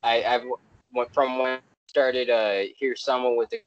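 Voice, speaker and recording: A man's voice: mid-range voice, fairly even intonation, normal speed, no room sound, muffled recording. A man hosting a podcast in casual chat, rambling and wry. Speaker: podcast host.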